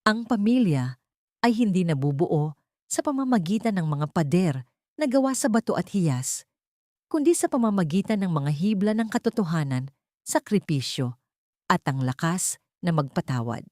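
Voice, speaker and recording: Low-voiced Filipino woman narrating a story. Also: sing-song, moderate pace, pauses often, mellow, no room sound, clean studio sound. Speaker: audiobook narrator. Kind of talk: scripted dramatic story narration.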